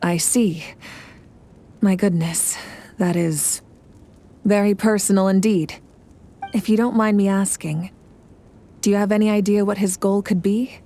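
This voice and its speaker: A woman's voice: mid-range voice, fairly even intonation, warm tone, close to an everyday voice. Woman playing a composed, regal war leader. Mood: thoughtful. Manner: measured, formal, authoritative. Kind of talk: video game dialogue acting.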